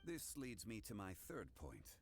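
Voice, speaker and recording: An actor playing a composed, learned gentleman investigator in a video game, speaking formally and with measured care. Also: lowish voice, wildly swooping pitch, gravelly, a little hiss or hum, full character voice. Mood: measured, explaining.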